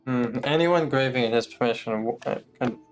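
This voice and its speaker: A man's voice: mid-range voice, normal speed. A man giving casual live gameplay commentary as a streamer, with sarcastic, sweary muttering asides. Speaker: game streamer. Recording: faint game music behind.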